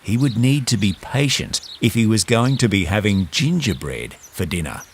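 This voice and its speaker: Lively male storyteller with creaky voice. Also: fairly low pitch, normal speed, a little hiss or hum. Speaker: narrator. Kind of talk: scripted children's storytelling.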